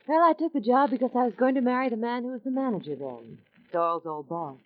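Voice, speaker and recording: An actress playing a rueful, casual young secretary at a desert mine in a vintage radio drama. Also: female voice, on the high side, expressive, fast, no echo, close to an everyday voice. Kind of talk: 1940s radio acting. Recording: thin phone-like old radio recording.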